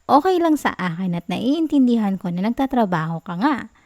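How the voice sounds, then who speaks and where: High-voiced clingy Filipina girlfriend, acted by a woman. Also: very animated, moderate pace. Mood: clingy, sweet. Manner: soft, whiny, teasing, giggly delivery. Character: clingy, playful, pouty young Filipina girlfriend. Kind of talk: intimate ASMR girlfriend roleplay.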